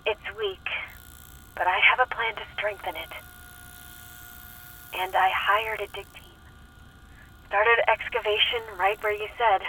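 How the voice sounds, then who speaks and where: An actress playing a haunted, devoted cult follower speaking haltingly with dread on a videotape in a horror audio drama. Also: female voice, mid-range voice, steady tone, sharp tone, breathy edge. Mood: fearful, resolute. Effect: played back from a videotape. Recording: distant, noisy videotape playback.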